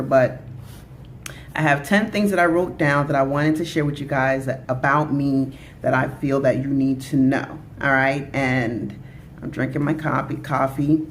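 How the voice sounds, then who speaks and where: A chatty woman in her thirties, originally from Jamaica, talks to camera in an easygoing, upbeat vlog style. She often pauses on fillers like "you know" and "like" as she goes along. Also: deep voice, slight background noise. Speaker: vlogger. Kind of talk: casual talk to camera.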